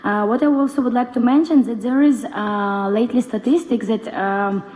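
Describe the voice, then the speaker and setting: A young woman with a Ukrainian accent gives a semi-prepared talk as a conference panelist, halting as she searches for words. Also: fairly high pitch, mellow. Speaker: conference panelist, NGO board member. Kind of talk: semi-prepared public speech. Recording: reverberant conference hall.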